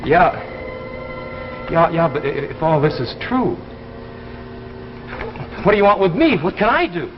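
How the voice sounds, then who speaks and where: A man's voice: fairly high pitch, big pitch swings, unhurried, pauses often, noisy background. An actor playing a skeptical, indignant ordinary man in a 1950s science fiction drama, agitated and protesting. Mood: bewildered. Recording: dull vintage 1950s broadcast, music behind.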